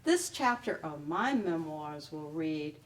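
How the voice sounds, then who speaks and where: A woman reading a memoir excerpt to a live audience in measured short phrases. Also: sits low, very animated, distant mic. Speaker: author reading a book excerpt. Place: live reading at a public library event.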